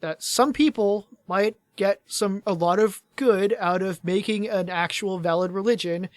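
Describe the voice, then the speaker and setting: A man's voice: high-pitched, unhurried, no echo. Tired-voiced male podcast co-host, fairly dry sound. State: tired voice starting to fade after hours of talking. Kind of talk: casual gaming podcast chat.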